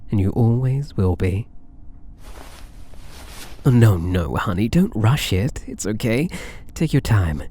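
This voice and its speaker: A man's voice: lowish voice, mellow, slight background noise. Man playing a coy, swooping British catboy thief. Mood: doting, possessive. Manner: coy, playful, mock-innocent purring taunts. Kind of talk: ASMR roleplay acting.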